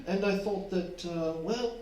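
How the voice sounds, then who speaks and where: A man's voice: high voice, measured pace, darkish tone. Man acting a genteel older husband, echoey and distant. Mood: genial. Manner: self-satisfied, genteel, formal. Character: wealthy, genteel, possessive husband in his fifties, a grocer. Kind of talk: staged old-fashioned radio drama acting. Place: theatre hall, distant microphone. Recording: echoey stage recording from afar, faint noise.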